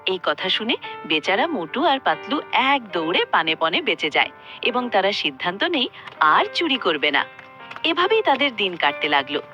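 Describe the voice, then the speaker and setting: A woman narrating a cartoon story in a lively, sing-song way. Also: mid-range voice, moderate pace, highs cut off. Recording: voice-over with music.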